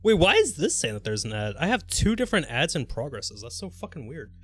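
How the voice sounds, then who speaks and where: A man live-streaming a game with casual, exclamatory commentary, reacting out loud and swearing freely. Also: a little high, big pitch swings, a little fast, husky.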